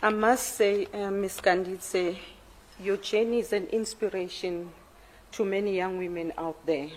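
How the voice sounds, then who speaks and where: A woman serving as a panel commissioner, putting formal questions in a deliberate, courteous way. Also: mid-range voice, steady tone, low-quality recording. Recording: faint background room noise.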